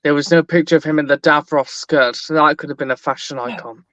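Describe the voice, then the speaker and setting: A man chatting on a podcast with a deadpan, wry manner. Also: on the high side, a little fast, dry recording. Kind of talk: casual podcast chat. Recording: dull lo-fi mic.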